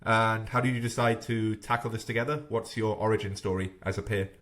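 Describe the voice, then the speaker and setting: A man in his thirties hosting a podcast interview, talking in a thoughtful, hesitant way with many pauses and fillers. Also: lowish voice, flat delivery, a bit bright. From Wales. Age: 30s. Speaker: podcast host.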